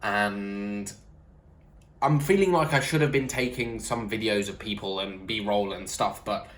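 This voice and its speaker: A man's voice: lowish voice, unhurried, a bit bright. A young man, giving frank, easygoing video diary updates to a handheld camera. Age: young adult. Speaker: student vlogger.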